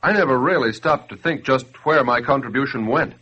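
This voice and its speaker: A man's voice: medium pitch, a little fast, pushed and bright, breathy edge. A man acting a doubtful ordinary citizen in 1940s radio style, hesitant and questioning. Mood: humbled. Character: doubtful ordinary citizen, slowly won over. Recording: dull muffled 1940s radio recording.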